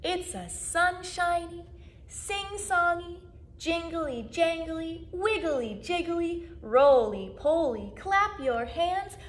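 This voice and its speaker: A woman in her twenties, a children's music educator, reading a story aloud to young children with animated, sing-song delivery and drawn-out words. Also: extremely high pitch. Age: 20s. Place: small plain indoor room, seated.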